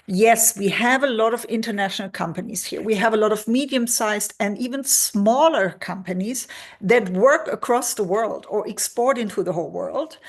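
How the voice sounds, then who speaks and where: A woman's voice: medium pitch, normal speed. A woman from Germany, a career expert answering interview questions over a video call, talking freely with many fillers.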